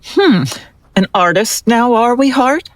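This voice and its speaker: A woman's voice: on the high side, lively intonation, slow pace, no echo. A woman playing a skeptical, no-nonsense forensic doctor who gives curt, sardonic replies. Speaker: forensic analyst.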